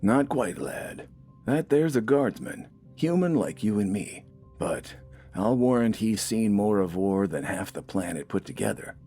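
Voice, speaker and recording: A man's voice: on the low side, expressive, measured pace, mellow, husky, soft background music, voice pushed up, exaggerated voice. A man voicing a wry, fatherly village militiaman in an audio story. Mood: wry, amused.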